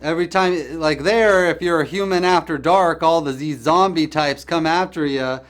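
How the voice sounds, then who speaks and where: A man with a smooth, clear and somewhat high voice talks as a casual talk host. He is blunt and dismissive, rambling through his opinions with plenty of filler words. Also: normal speed, a bit bright. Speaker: podcast host discussing current events. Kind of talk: casual political chat over the phone.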